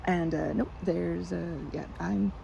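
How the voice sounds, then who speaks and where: Stammering, timid young woman, acted out by a woman, muffled amid loud ambience. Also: lowish voice, fairly even intonation, slow, gravelly, background noise, exaggerated voice. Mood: flustered. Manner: stammering, hesitant, flustered, giggly. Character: timid, awkward, sweet young woman, a park regular. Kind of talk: ASMR roleplay, improvised-sounding one-sided conversation.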